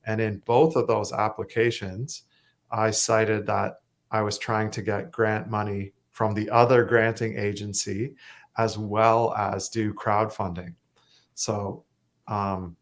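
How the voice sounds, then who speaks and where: A Canadian man with a self-described monotone voice, talking casually as a musician guest, rambling with fillers and restarts. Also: fairly low pitch. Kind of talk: casual interview chat.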